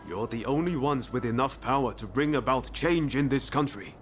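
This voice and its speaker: A man's voice: mid-range voice, normal speed, a bit bright, faint music behind, lightly acted. A man playing a pragmatic, persuasive warrior in a video game cutscene, speaking directly and with resolve. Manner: plain-spoken, direct.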